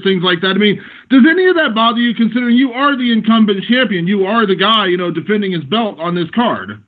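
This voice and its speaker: Male journalist on a press call, high-set voice, thin telephone audio, conversational questioning. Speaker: sports journalist. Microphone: phone. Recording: tinny narrowband phone line.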